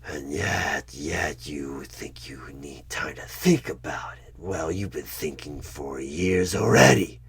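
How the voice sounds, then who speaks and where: An extremely low, raspy devil tempts the listener in a horror roleplay. He is menacing and coaxing, drawing words out slowly and slipping into breathy whispers. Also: full character voice. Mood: persuasive, menacing. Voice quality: husky, breathy half-whisper. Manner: slow, menacing, coaxing, with sudden loud bursts. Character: sinister devilish tempter bargaining for a soul. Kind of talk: horror ASMR one-sided roleplay.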